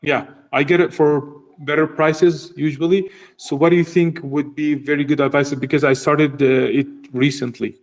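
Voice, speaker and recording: A man's voice: fairly high pitch, steady tone, normal speed, hardly any pauses. A Palestinian Canadian man calling in to a live chat who asks politely and pleadingly, with broken grammar. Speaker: caller. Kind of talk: casual live audio chat.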